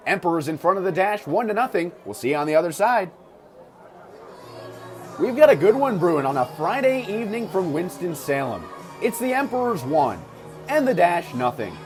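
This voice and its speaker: A man doing live radio play-by-play of a baseball game as the announcer, with clipped, descriptive calls. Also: a little high. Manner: clipped, descriptive calls that swell on big plays. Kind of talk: live sports commentary. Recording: clear ambient ballpark noise behind voice. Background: ballpark ambience.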